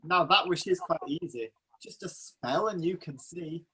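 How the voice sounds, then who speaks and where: A man playing a dutiful spirit servant of a magic ring in a rhyming pantomime, solemn and husky. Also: a little high, moderate pace, bright, forceful tone, rough recording. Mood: solemn, obliging. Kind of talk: pantomime acting.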